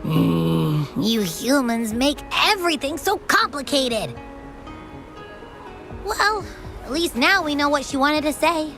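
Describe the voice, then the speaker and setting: A small nonhuman game companion with a high, animated voice. She sounds childlike and blunt, exclaiming over clear background music. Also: takes it slowly, heavily acted. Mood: puzzled, grumbling. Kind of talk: video game voice acting.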